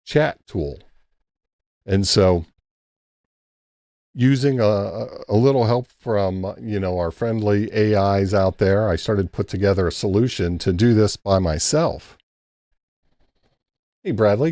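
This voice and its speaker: A man's voice: on the low side, darkish tone, dry recording, clean studio sound. A man hosting a casual late-night ham radio live stream, rambling easily and thanking chat members by name.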